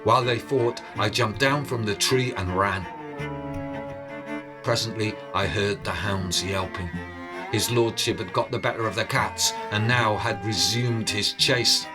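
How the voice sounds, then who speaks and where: Male storyteller, deliberate slow pace, theatrical, suspenseful narration, faint instrumental music. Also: on the low side. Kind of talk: dramatic oral storytelling, scripted narration.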